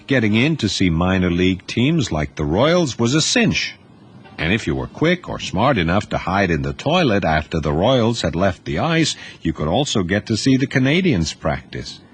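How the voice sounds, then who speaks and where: A man reading a novel aloud as narrator, in a lively storytelling manner. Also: on the low side, moderate pace, breathy edge. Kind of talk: scripted audiobook narration.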